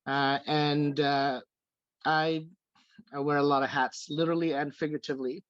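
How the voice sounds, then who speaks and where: A man's voice: a little high, level tone, moderate pace, a bit bright, no room sound, highs cut off. A man in his forties from the Philippines, speaking casually as an organization's treasurer, hesitant with many fillers and restarts. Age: 40s. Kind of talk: casual video call remarks. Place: home room. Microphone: video call.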